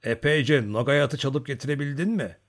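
A man doing a sullen, gruff old man. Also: medium pitch, normal speed, pitched above the performer's own voice, natural voice.

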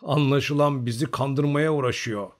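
A man voicing a gruff, bossy, excitable Cossack comrade in an audiobook story, scolding and insisting. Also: a little high, moderate pace, pitched above the performer's own voice. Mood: grumbling, insistent.

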